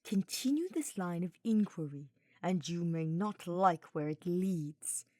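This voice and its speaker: Casting: woman playing a menacing male dark mage for storybook fantasy, snarling, stiffly formal, dry recording. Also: fairly low pitch, animated, a little slow, no echo.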